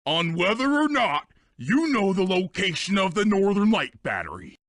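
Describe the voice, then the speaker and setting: A man voicing a boisterous, childlike tough guy in a video game, excitable and showy. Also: high voice, normal speed, no echo, studio quality. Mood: eager, boastful. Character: boisterous, boastful, childlike male bruiser of a thief gang.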